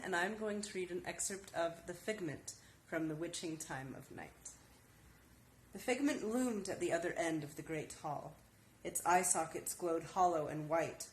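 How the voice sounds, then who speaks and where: A woman with a low voice reads fiction aloud as a dramatic narrator. The room is echoey, with faint noise behind her. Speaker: author. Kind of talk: dramatic story reading.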